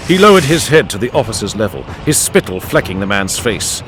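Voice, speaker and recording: Lively male audiobook narrator over music. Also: on the low side, a little fast. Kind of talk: dramatic scripted narration. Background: music and added sound effects.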